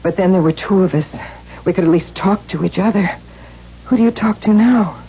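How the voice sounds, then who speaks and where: A bold young runaway and protective big sister. Her voice is husky and theatrical, bitter yet affectionate. It sounds like a 1970s radio play, a little muffled. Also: medium pitch, a little fast. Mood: teasing, scornful. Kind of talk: 1970s radio drama acting. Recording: dull 1970s radio broadcast.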